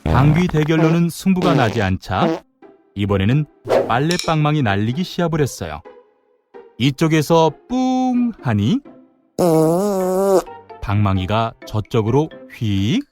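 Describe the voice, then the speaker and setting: An expressive narrator reads a children's folktale. The intonation is lively and plays up every sound word. Music plays clearly underneath. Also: medium pitch, unhurried, pauses often. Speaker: storyteller narrator.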